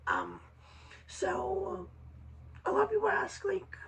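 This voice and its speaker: Very high, raspy, hoarse woman. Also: huge pitch swings. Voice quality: raspy, hoarse after vocal surgery. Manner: halting, many ums and restarts. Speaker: vlogger. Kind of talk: casual personal update. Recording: slightly distant mic with faint hiss.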